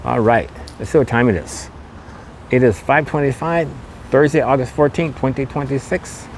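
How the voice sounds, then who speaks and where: A man's voice: mid-range voice, moderate pace. A man hosting a casual walking tour outdoors, talking in repetitive promotional patter. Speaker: podcast host and walking tour guide. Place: outdoors on a shopping mall street. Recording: noisy outdoor street with passing cars.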